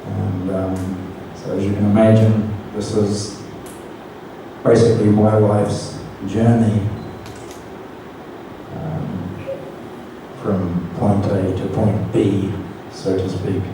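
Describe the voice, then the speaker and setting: Slow-speaking New Zealand man, very echoey room. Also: on the low side, flat delivery. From Auckland, New Zealand. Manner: hesitant, self-deprecating, light humour. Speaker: Buddhist monk giving a talk. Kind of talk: informal Dhamma talk to an audience. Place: community centre hall. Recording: distant mic, very echoey room, noisy.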